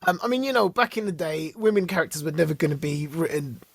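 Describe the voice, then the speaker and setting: A man co-hosting a podcast in casual chat, excitable, laughing often and tripping over repeated words. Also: a little high, fast talker, gravelly, breathy edge, dry recording. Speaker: podcast co-host.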